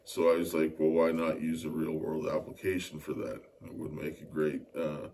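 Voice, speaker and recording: A man's voice: extremely low pitch, flat delivery, normal speed, darkish tone. A middle-aged man from Winnipeg, explaining technical work as an interview guest with many hesitant fillers. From Winnipeg, Canada. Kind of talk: interview answers. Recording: distant mic with faint ambient noise.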